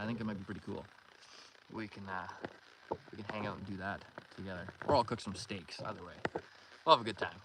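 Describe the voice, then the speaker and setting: Friendly Canadian man livestreaming over outdoor noise. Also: medium pitch. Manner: friendly, welcoming, thanks and greets viewers by name. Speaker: livestream host, angler. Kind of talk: casual livestream chat, reading and answering viewer comments. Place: outdoors in a canoe on a pond. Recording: noisy outdoor recording with water and canoe sounds.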